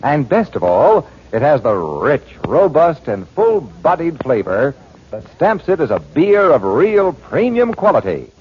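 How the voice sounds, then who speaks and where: A man's voice: a little high, sing-song, a little slow, a little hiss or hum. A man doing scripted 1940s radio announcing. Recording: muffled 1940s radio recording.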